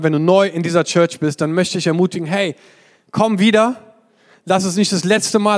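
High-voiced young man preaching in a reverberant hall. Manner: enthusiastic, exclamatory preaching. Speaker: guest pastor. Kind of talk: sermon. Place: large church hall. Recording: long reverb of a church hall.

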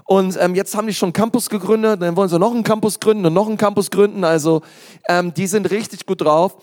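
A man's voice: high-pitched, steady tone, fast talker, darkish tone. A man from Berlin introducing a guest pastor to a church congregation in an effusive, hype-filled way. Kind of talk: stage introduction. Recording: long reverb of a church hall.

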